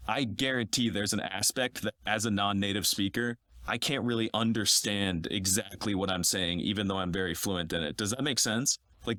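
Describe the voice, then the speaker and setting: Young male podcast guest, twenties, lowish voice, relaxed and self-mocking, dry studio sound. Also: dry recording. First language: English. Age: 20s. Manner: laid-back, self-deprecating, filler-heavy. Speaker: guest polyglot. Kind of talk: casual podcast chat.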